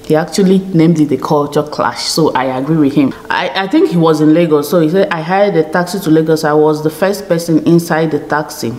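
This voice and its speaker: A woman's voice: sits low, steady tone, brisk, hardly any pauses, a little mellow. A Nigerian woman in her thirties chatting to camera in a small home studio, often checking in with "okay?". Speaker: YouTube vlogger. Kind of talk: casual chat to camera.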